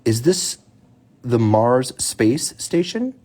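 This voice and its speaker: A man voicing a stern, sarcastic storybook father bear in a deliberate, mock-formal way. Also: medium pitch, very animated, a little mellow.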